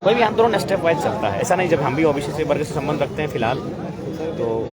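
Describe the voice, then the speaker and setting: Emphatic young Jharkhandi man amid loud crowd. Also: on the high side, sing-song, fast talker. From Jharkhand, India. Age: young adult. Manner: emphatic, explanatory, repeats phrases. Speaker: political activist being interviewed. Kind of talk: impromptu interview answers in oratorical speech. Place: outdoors at a public gathering. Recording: noisy outdoor crowd and traffic.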